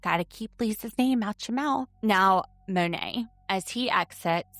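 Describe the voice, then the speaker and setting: Southern lady podcaster, sassy and chatty, low-ish gravelly voice, dry mic. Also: fairly bright tone, no echo. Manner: chatty, sassy, quick jokes and laughs. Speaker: podcast co-host, attorney. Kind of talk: casual recap chat.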